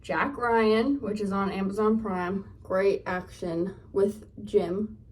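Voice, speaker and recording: A woman's voice: medium pitch, fairly even intonation, takes it slowly, darkish tone. A young woman from Northern California with a Californian accent, chatting casually in a get-ready-with-me vlog. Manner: rambling, chatty, lots of fillers. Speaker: lifestyle vlogger. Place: home, getting ready. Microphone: phone camera. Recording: voice a bit off-mic, from a distance.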